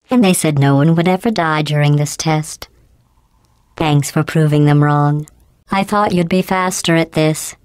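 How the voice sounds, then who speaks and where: A woman voicing a cold, sarcastic female AI overseer in a video game, talking in a deadpan, condescending, passive-aggressive way with robotic processing. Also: low-pitched, smooth, dry recording. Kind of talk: game voice clips.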